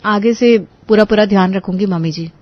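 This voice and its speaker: A woman's voice: medium pitch, brisk, dry recording, dull audio, lightly acted. A woman voicing a dutiful, shrewd young daughter-in-law in a family story. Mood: eager, obliging.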